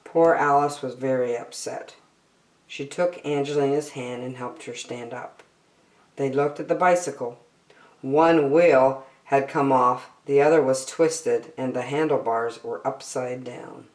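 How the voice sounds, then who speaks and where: A woman reading a children's story aloud with expressive narration and dramatic phrasing for young listeners, in a roomy-sounding recording. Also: extremely low pitch, measured pace.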